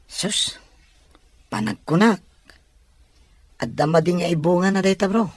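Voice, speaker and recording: A man's voice: high voice, unhurried, husky, no echo. A man with an Ilocano accent playing a cautious, considerate young friend giving advice in an audio drama. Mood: cautioning. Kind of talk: radio drama acting.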